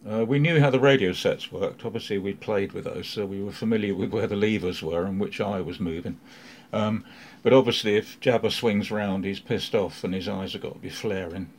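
A man's voice: lowish voice, brisk, hardly any pauses, slight background noise. A man, a film crew member, recalling his work in a casual interview with bursts of laughter.

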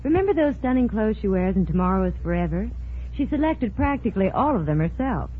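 Lively 1940s radio saleswoman. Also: medium pitch, fast. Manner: polished, chatty sales delivery. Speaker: commercial spokeswoman. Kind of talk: scripted 1940s radio commercial dialogue. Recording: narrow-band, noisy 1940s radio recording.

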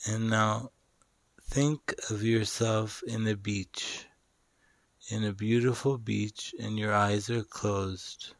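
Male meditation guide: very slow, flat, soothing delivery, long pauses between simple instructions, dry recording. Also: fairly low pitch, dry recording. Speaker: meditation guide and show host.

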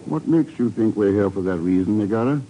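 Cool, wry secret agent played by a man: very low measured voice, old radio drama, muffled noisy recording. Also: animated, natural voice. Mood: dry, calm. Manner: measured, wry, probing questioner. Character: cool, dry male American secret agent investigator. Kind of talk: 1950s radio drama acting.

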